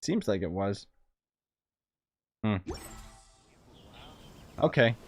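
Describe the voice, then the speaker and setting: A young man casually commenting on a game during a live stream, thinking aloud in a relaxed, chatty way. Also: fairly low pitch, extremely slow, faint music behind.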